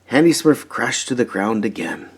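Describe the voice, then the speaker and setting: Animated man reading a children's story, husky voice. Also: lowish voice, moderate pace. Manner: animated, emphatic, dramatizing story moments. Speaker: storybook reader. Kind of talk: expressive children's read-aloud narration.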